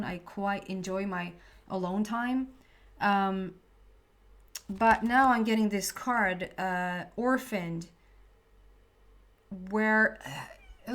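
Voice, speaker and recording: Swedish lady doing a chatty tarot reading, keeps hunting for words and correcting herself, medium pitch. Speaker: tarot reader. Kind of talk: casual tarot reading to camera.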